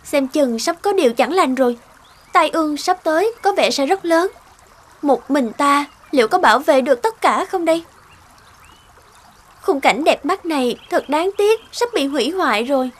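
Cartoon river goddess: young, caring, anxious, dramatic, very high-pitched. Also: female voice, expressive, normal speed. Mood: solemn, worried. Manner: earnest, dramatic self-talk. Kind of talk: animated fairy-tale dubbing.

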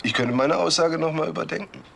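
A man playing a calm, shrewd police detective in a crime radio drama, measured, terse and commanding. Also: a little high. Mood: coolly pressuring. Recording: clearly noisy track with clicks.

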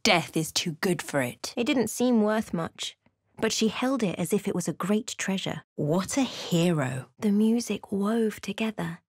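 A woman voicing a solemn adventurer who narrates a fantasy game journey in a measured storytelling manner. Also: medium pitch, lively intonation, husky, no room sound, clean studio sound.

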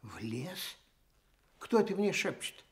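Kindly children's radio storyteller: lyrical, expressive, wide swoops of pitch, music behind. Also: male voice, slow pace, heavily acted. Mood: warm surprise. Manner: expressive, lyrical, addresses child as dear friend. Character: kindly storyteller who loves his young listeners. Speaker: storyteller host.

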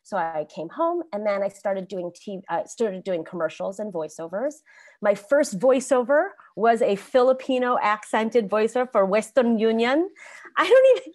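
A woman on a video call panel telling stories about her acting career in a chatty, animated way, laughing often and restarting sentences. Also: a little high, dry recording, studio quality. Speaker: alumni panelist, actor.

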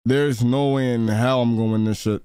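A man's voice: medium pitch, fairly even intonation, normal speed, warm tone, clean tone, no echo. A man streams a game and reacts as he goes. His talk is casual, slangy and full of swearing, and the sound is close and dry. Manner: slangy, profane.

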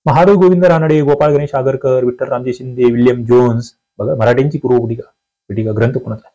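Maharashtrian guy doing exam coaching, mellow voice, reads out questions then asks and answers, emphatic. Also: mid-range voice, steady tone, fast talker, highs cut off. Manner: instructive, emphatic, often asks rhetorical questions. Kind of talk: quiz-paper lesson, reading questions and answers aloud.

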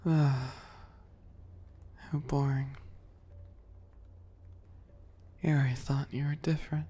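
A young man playing a sadistic, obsessive bunny boy in a whispery ASMR roleplay, soft and breathy, taunting with false sweetness. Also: medium pitch, level tone, a little slow. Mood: bored, disdainful.

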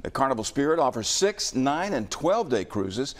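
An older man anchors the local news from a studio desk in an easygoing way. His voice is mid-pitched and plain, and he trades light jokes with the field reporter. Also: moderate pace. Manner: relaxed, bantering with the reporter.

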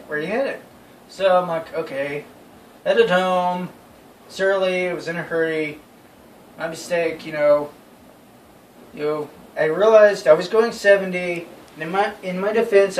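Young man telling a story, roomy and distant. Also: fairly high pitch. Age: young adult. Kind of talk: casual anecdote among friends. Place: small bedroom, indoors. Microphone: phone camera a few feet away. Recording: roomy, distant phone mic with noise.

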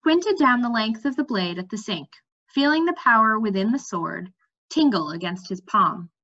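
A young adult woman reading a storybook aloud at home, expressive, with the odd stumble. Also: fairly high pitch, moderate pace. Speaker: reader. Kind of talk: storybook read-aloud narration with lively dialogue. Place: bright room at home. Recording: slightly dull webcam audio.

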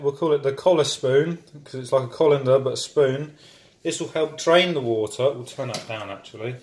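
A young man, chatting casually and joking while he cooks in his home kitchen, filming on a handheld phone. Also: mid-range voice. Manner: chatty, jokey, rambling asides. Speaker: home cooking vlogger. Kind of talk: casual cooking vlog. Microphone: handheld phone camera.

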